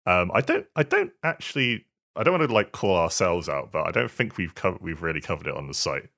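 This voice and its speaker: A man with an extremely low voice and a bright, forward edge chats casually as a podcast co-host. He speaks haltingly, often doubling back on his words as he describes things. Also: wildly swooping pitch, vocal fry. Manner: hesitant, halting delivery with repeated words. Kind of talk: casual video game podcast chat.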